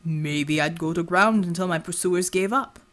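A woman voicing a strong, calm male field chief in an audiobook, with a measured, dry and understated way of talking. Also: lowish voice, voice pushed down, close to an everyday voice. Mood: thoughtful.

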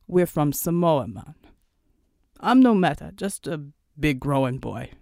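A woman's voice: low voice, lively intonation, measured pace, gravelly, darkish tone, no echo, clean studio sound, pitched below the performer's own voice, full character voice. A woman voicing a huge, genial man from Samoa, easygoing and jovial, like a big brother.

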